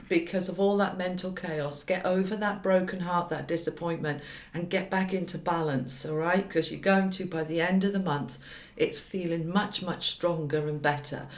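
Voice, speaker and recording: A woman with a slightly croaky voice giving an informal tarot reading, speaking directly to viewers with emphatic repetition. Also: on the low side. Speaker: tarot reader and hypnotherapist. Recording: roomy, echoey sound.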